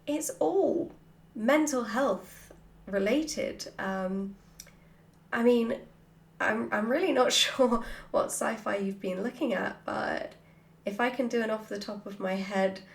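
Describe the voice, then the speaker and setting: A woman vlogger answering questions off the cuff in an animated, informal, jokey way. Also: on the high side, takes it slowly, creaky. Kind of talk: casual chatty Q&A, off the cuff. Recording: roomy, echoey sound.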